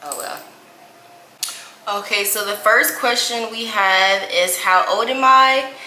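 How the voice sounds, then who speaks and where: Chatty twenty-something woman, bright voice. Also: medium pitch, steady tone, slow, reverberant, a little hiss or hum, rough recording. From Indianapolis, USA. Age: 20s. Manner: chatty, says "y'all" and "like" often. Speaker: beauty vlogger. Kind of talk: casual chat while doing makeup.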